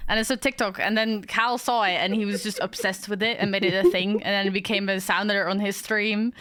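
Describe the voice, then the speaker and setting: A woman's voice: medium pitch, fairly even intonation, a little fast, a bit bright, dry recording. A woman from the Netherlands with a Dutch-influenced English accent, chatting as a podcast guest with many fillers and easy laughter. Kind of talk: casual chat.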